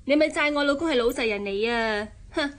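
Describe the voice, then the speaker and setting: Actress as high-voiced, fussy, cynical wife. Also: female voice, fairly quick, close to an everyday voice. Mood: cynical, scornful. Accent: Hong Kong Cantonese. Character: big-sisterly, cynical, fussy married woman. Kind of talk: Cantonese radio drama acting.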